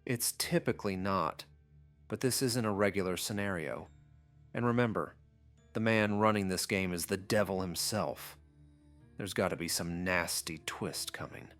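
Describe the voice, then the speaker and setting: A man's voice: low-pitched, moderate pace, a bit bright, soft background music. A man voicing a wary, cynical teenage boy in an anime audiobook, muttering and sardonic.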